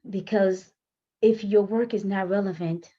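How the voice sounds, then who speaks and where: A woman's voice: medium pitch, fairly even intonation, measured pace, dull audio. A woman whose first language is French, coaching writers in a chatty, self-correcting way, laughing between points. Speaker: writing coach. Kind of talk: casual teaching talk to camera.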